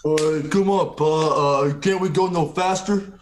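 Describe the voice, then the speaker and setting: A man's voice: fairly high pitch, a little slow, slight background noise, close to an everyday voice. A man voicing an impatient, whining farm child in an amateur radio play.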